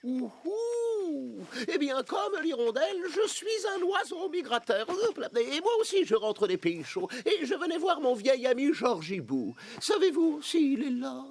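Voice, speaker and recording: An actor playing a courtly, kindly stork gentleman in a French children's cartoon, with a polite, theatrical, sprightly delivery. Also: high-pitched, big pitch swings, unhurried, exaggerated voice. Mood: jovial, introducing himself. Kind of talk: French animated children's series dialogue.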